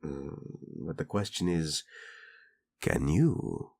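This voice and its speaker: A man's voice: a bass voice, animated, extremely slow, gravelly, darkish tone, exaggerated voice. A man playing a flirtatious, doting prince and husband in a romantic audio roleplay, speaking intimately and expressively. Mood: teasing, flirtatious.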